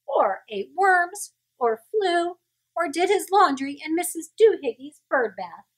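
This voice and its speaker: An exasperated storybook mother cat, played by a woman, high-pitched and swooping widely. She lectures sternly, hitting her words hard and slowly. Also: big pitch swings, full character voice, rough recording. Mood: scolding.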